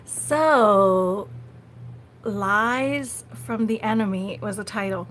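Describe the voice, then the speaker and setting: A woman's voice: medium pitch, very slow, fairly bright tone. A woman hosting a casual live vlog, talking conversationally to viewers and often repeating her phrases, recorded on a phone. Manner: conversational, repeats phrases, frequent small laughs. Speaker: live-stream host. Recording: noisy phone recording of a livestream.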